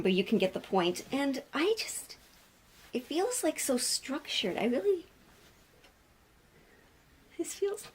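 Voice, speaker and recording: A woman with a mid-pitched voice hosts a hobby vlog. She speaks casually and candidly, with a self-deprecating streak, moving between chat and narration of her project. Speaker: sewing vlogger and host.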